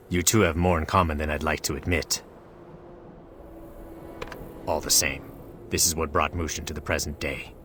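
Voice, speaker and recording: An actor playing a solemn, regretful male divine being in a game, speaking in a measured, formal manner. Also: very deep voice, a bit bright, slight background noise. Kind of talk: scripted game dialogue.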